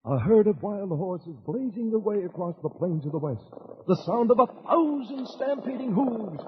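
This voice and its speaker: A man narrating a late 1940s dramatic radio opening, with drawn-out, grandiose delivery. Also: high-pitched, lively intonation. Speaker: radio show announcer. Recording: muffled, noisy old radio recording.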